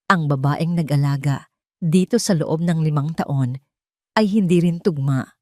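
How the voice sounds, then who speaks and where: A woman with a Filipino accent tells a scripted drama as its narrator. Her pitch sits in a narrow, low band for a woman, and the sound is very dry. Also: unhurried, a little mellow, no room sound. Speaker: audio story narrator.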